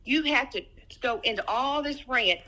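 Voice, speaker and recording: A woman's voice: sits high, animated, moderate pace, sharp tone. A middle-aged woman in a personal phone argument, speaking insistently and repeating her phrases. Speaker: private caller in a family dispute.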